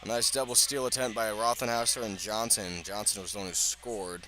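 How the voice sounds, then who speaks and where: A man's voice: on the low side, fairly even intonation, a little fast, sharp tone, faint music behind, low-quality recording. A man doing live radio baseball play-by-play in short, clipped phrases. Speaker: sports play-by-play announcer.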